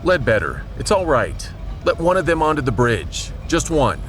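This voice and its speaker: A man's voice: on the high side, sing-song, normal speed, a bit bright, faint music behind. A man playing a firm, level-headed starship captain in a sci-fi audio drama, direct and commanding.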